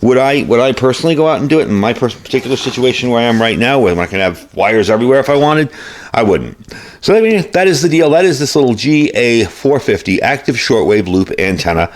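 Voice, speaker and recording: A man's voice: medium pitch, expressive, fast. A man giving a casual, unscripted product review in a conversational, plain-spoken way, as the host of a hobby channel. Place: indoor workshop at a desk.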